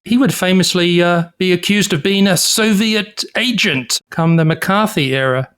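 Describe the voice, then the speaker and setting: A man co-hosting a podcast, explaining history in a measured, informative way. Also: sits high, fairly bright tone, clear, resonant tone. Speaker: podcast co-host. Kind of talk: casual chat.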